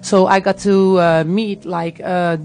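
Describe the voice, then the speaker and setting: A woman with a Dutch accent and a gravelly edge, talking casually and hesitantly as an interview guest. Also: on the low side, flat delivery, takes it slowly, darkish tone, faint music behind. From Netherlands, grew up in Tilburg. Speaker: music artist, interview guest. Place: radio studio.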